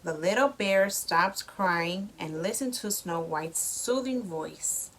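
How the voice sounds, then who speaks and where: A woman reading a storybook to a young child as a mother, with expressive delivery and simple explanations. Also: on the low side, takes it slowly, poor audio.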